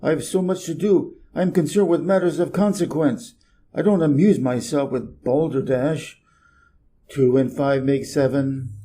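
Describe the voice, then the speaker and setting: A man's voice: fairly high pitch, measured pace, warm tone, a little hiss or hum, close to an everyday voice. A man voicing a busy, self-important, irritable middle-aged businessman from a storybook, brusque and emphatic. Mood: busy, impatient. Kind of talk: storybook character reading.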